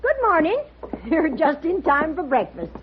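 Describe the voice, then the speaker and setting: High-voiced, bossy frontier matron. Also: female voice, big pitch swings. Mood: hospitable. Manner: brisk, no-nonsense, scolding. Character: bossy, motherly older frontier boarding-house woman. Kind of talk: 1950s radio western acting. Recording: muffled, noisy old radio recording.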